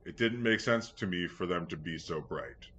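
A man reading a scripted first-person story in a measured, earnest manner. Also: low-pitched, fairly bright tone. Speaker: story reader. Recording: dull lo-fi sound, clear background noise.

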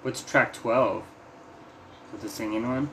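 A man with an average-pitched voice co-hosts a casual music talk. He speaks in short, slangy reactions and interjections. Background noise is clearly audible on the recording. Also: a little slow, fairly bright tone, rough recording. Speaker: co-host of a music album discussion show.

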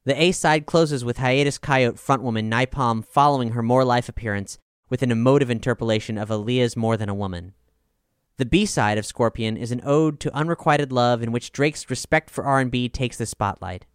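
Male podcast narrator for scripted music reviews: crisp, resonant tone, dry studio sound, polished measured read. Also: mid-range voice, no room sound. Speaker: album review reader and podcast host.

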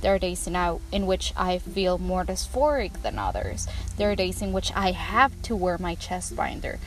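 A woman's voice: on the low side, animated, moderate pace, a little hiss or hum, poor audio. A young woman hosting a personal podcast, speaking candidly and thoughtfully in English, which is not her first language. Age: young adult. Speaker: podcast host. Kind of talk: personal reflective podcast monologue.

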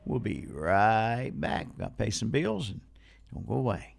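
A man's voice: fairly low pitch, very animated, unhurried, gravelly, very noisy. A man hosting local radio and reading ads in a folksy manner. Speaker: radio swap shop host and ad reader. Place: radio studio.

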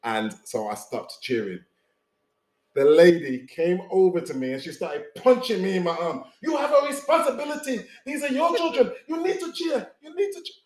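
A man hosting a casual chat show, hoarse from losing his voice, jokey and chatty, stumbling now and then. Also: sits high, sing-song, normal speed, a little mellow. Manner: jokey, chatty, stumbles while reading an introduction. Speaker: host. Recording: echoey room.

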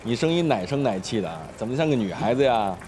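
A man's voice: mid-range voice, very animated, brisk, a little mellow, slight background noise, natural voice. A male actor playing a brash, foul-mouthed, teasing teenage schoolboy in an audio drama, in slangy exclamations. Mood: bossy, teasing. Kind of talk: Chinese audio drama acting.

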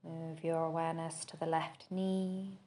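A woman with a lowish voice guides a body scan meditation. She speaks very slowly and gently. Each measured instruction is followed by a long, unhurried pause. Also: level tone. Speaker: podcast host and meditation teacher.

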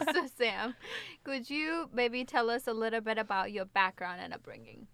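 A woman co-hosting a casual podcast interview, upbeat and quick to laugh. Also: fairly high pitch, expressive, gravelly, fairly bright tone, no room sound. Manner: giggly, upbeat. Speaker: co-host.

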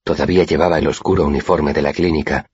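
A man's voice: a bass voice, fairly even intonation, fast talker, a little mellow, slightly rough, dry recording. A man reading a first-person literary story as an audiobook narrator, in a dull, muffled recording.

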